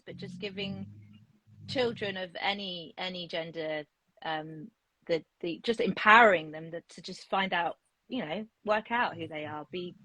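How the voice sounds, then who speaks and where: A woman in her forties, a picture book author, talking in a relaxed interview with many restarts and fillers. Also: medium pitch, slightly rough, dry recording, poor audio. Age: 40s. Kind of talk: casual interview chat.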